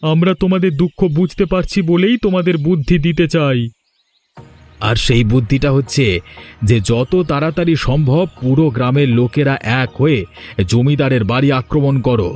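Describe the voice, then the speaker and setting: A fairly high-pitched village man from a cartoon melodrama acted by a man. He speaks emphatically, declaiming his lines like a speech. Music plays faintly behind him. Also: a little mellow, close to an everyday voice. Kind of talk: dramatic Bengali cartoon voice acting. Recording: music under the voice.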